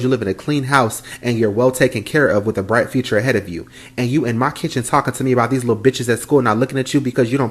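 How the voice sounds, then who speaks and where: A man's voice: mid-range voice, natural voice. A man playing a stern, foul-mouthed mother and grandmother in a comedy skit, scolding bluntly. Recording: roomy echo.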